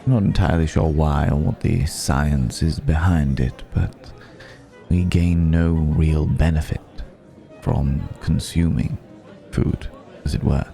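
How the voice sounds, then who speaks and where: A man playing a suave, courteous vampire in an intimate ASMR roleplay, speaking in a refined, formal, gently amused way with lingering pauses. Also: extremely low pitch, fairly even intonation, gravelly, faint music behind, heavily acted. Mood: reflective, confiding. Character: suave, courteous male vampire dining with a mortal guest.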